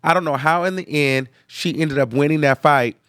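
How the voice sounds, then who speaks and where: A young man hosting a casual reaction video, sounding nasal and congested from sinus trouble, chatty and animated. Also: on the high side, normal speed, smooth, no room sound. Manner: chatty, animated, tag questions like okay. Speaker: host.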